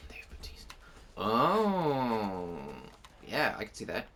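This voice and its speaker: A man playing a video game and chatting casually with a friend, laid-back and quick to laugh. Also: very animated, fairly bright tone, a little hiss or hum. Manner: laid-back, laughs at his own jokes, swings widely in pitch. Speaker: game player and co-host. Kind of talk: casual let's-play chat.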